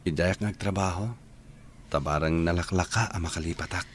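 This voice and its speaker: An actor playing a gentle, lovelorn young man in a radio drama, with a Filipino accent. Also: deep voice, fairly quick, a little hiss or hum, close to an everyday voice. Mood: sad, apologetic. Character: Alfred, sad, gentle young man giving up love. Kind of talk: Ilocano radio drama acting.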